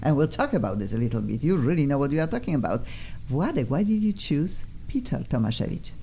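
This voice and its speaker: A woman's voice: low-pitched, fast talker, a little hiss or hum. A woman effusive Polish-accented radio host. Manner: effusive, chatty, flattering guests. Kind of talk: casual studio interview. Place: radio studio.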